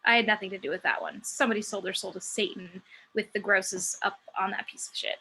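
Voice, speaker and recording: A woman's voice: medium pitch, fairly even intonation, quick pace, sharp tone, a little hiss or hum, low-quality recording. A woman playing a cocky, sardonic muse who works as a stripper, in a script table read. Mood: boastful, wry. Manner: sardonic, brash. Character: sassy, cocky female muse working as a stripper.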